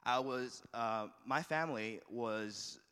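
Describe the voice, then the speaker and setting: A young man from Malaysia who grew up in Shanghai, a student giving an earnest, halting personal testimony to an audience with many restarts. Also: mid-range voice, bright, forceful tone, clean tone. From Malaysia, raised in Shanghai. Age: young adult. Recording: long hall reverb.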